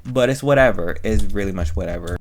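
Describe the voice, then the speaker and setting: Low-voiced man chatting casually, rambling. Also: quick pace. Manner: rambling, repeats phrases, slangy. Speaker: reviewer of an audio drama and channel host. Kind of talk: casual chatty commentary and plot recap.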